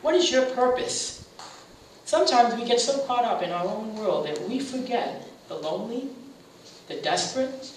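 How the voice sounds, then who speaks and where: A woman who hosts a radio show gives an earnest, heartfelt speech at a community center, halting on fillers and then swelling with emphasis on key phrases. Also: a little high, expressive. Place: meeting room at a community center. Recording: very echoey room from a distant mic, faint hiss.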